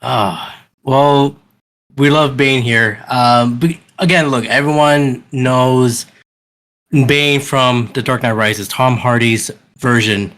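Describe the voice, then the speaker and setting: A man's voice: medium pitch, steady tone, takes it slowly, fairly bright tone. A man hosting a casual podcast chat, enthusiastic and restarting his sentences often. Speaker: podcast host.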